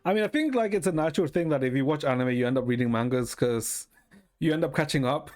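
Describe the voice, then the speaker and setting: A man's voice: fairly high pitch, lively intonation, fast, a little mellow. A man from Ecuador chatting casually to his live stream viewers in a rambling, informal way, swearing freely. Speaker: livestreamer. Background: music.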